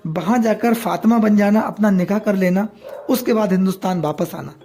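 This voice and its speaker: Male news commentator, soft dark tone, moralizing and opinionated delivery, music underneath. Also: high-pitched. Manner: moralizing, rhetorical questions. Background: background music.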